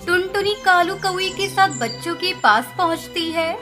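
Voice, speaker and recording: A woman's voice: very high voice, lively intonation, moderate pace. A woman reading scripted narration for a children's cartoon story. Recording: music under the narration.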